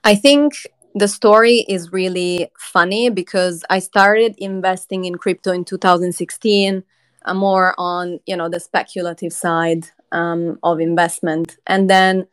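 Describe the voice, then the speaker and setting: A woman from Croatia in her thirties, a company chief being interviewed, talking in an earnest, measured way with frequent ums. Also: lowish voice, smooth. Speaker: crypto company CEO, guest. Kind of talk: conversational interview.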